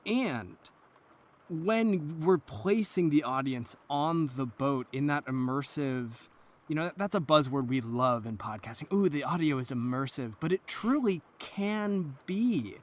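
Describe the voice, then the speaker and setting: A man's voice: on the high side, lively intonation, a little slow. A man talking earnestly and articulately as an interview guest about his creative work in audio drama. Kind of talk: thoughtful interview talk.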